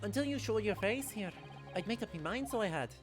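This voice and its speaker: A woman's voice: mid-range voice, expressive, brisk, over music, lightly acted. A woman voicing a blustering rich male rogue in an attempted Irish accent, theatrical and folksy, for a game. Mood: persuasive.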